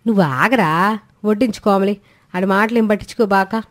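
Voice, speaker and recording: A woman voicing a sharp-tongued, domineering older mother-in-law in a storybook tale. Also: mid-range voice, steady tone, a little fast, lightly acted. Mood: scolding. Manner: scolding, bossy. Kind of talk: Telugu storybook voice acting.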